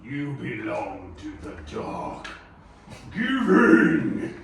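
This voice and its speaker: Menacing headless king of death, acted by a man: creaky, wide-swinging delivery, theatrical and regal, in a very echoey space. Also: medium pitch, sing-song, very slow, noisy background, full character voice. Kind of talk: dramatic table read of a fantasy film script. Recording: very echoey room, far from mic.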